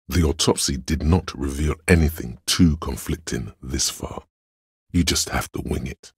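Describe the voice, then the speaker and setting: A man voicing a cool, analytical inner voice of reason in a video game, measured, deliberate and dryly sardonic, with a husky tone. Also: a little mellow, no echo, studio quality, heavily acted. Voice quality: very deep, gravelly husky. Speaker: voice actor.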